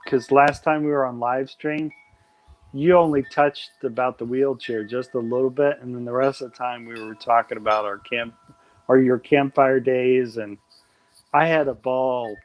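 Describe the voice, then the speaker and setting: A man hosting a live stream late at night talks in a casual, rambling way. His voice sits at an everyday middle pitch. He sounds enthusiastic and often restarts his sentences. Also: steady tone, moderate pace, lo-fi sound, slight background noise. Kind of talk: casual chat.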